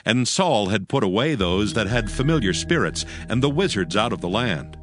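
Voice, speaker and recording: A man's voice: lowish voice, lively intonation, moderate pace. A man narrating scripture from a script in a solemn style. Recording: music bed behind the voice.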